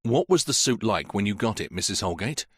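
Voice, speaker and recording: A man's voice: lowish voice, sing-song, a bit bright, breathy edge, dry recording, clean studio sound, heavily acted. A man doing a courteous police inspector. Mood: polite. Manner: courteous, measured questioning. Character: polite, patient male police inspector.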